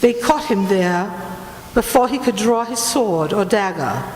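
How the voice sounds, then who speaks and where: A woman's voice: medium pitch, lively intonation, a little slow, darkish tone, lightly acted. A woman playing an imperious, obsessive Italian noblewoman in a radio play, theatrical and grandly condescending as she tells ghostly legends. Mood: rapt, impassioned. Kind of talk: dramatic radio play acting. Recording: roomy, echoing hall, faint noise.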